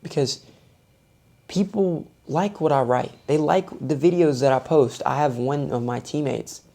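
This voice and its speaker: A man's voice: mid-range voice, unhurried, gravelly, mellow. A young man vlogging with a soothing voice, talking through his thoughts in a thoughtful, self-searching way. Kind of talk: reflective personal monologue.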